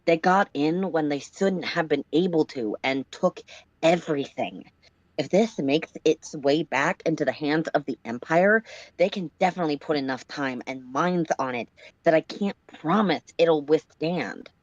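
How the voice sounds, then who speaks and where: Woman as a low-voiced grave ship's hacker. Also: unhurried, no echo, dull audio. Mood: grave, resigned. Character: gloomy ship's hacker reporting over the speaker.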